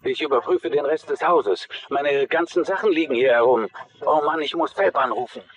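A man's voice: fairly high pitch, normal speed, lightly acted. An actor voicing an agitated man muttering on an emergency call in a documentary dub. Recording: dull, lo-fi emergency-call sound.

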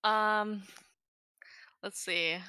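A woman's voice: medium pitch, steady tone, extremely slow, bright, forceful tone, clean tone, no room sound, low-quality recording. A woman playing in a tabletop game, casual and giggly, laughing often as she describes a scene. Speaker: player.

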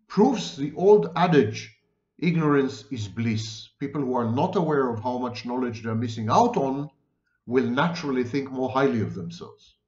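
An emphatic man in his sixties lectures into a desk webcam, slow and measured, piling up synonyms. His room sounds roomy and the audio is dull and muffled. His voice sits at an ordinary middle pitch. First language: Hebrew. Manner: deliberate, emphatic, gesturing, lists many near-synonyms. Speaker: lecturer on psychology. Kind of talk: lecture-style monologue to camera. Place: home room indoors.

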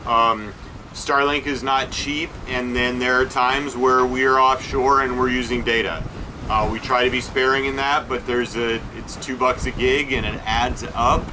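A man talking through a list of costs aboard a sailboat, calm and practical, stopping often on "uh" and "um". His pitch sits in an ordinary middle range. Wind and boat noise run clearly behind him. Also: unhurried. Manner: matter-of-fact, listing figures with many uh fillers. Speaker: sailing vlog co-host. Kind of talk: casual expense breakdown.

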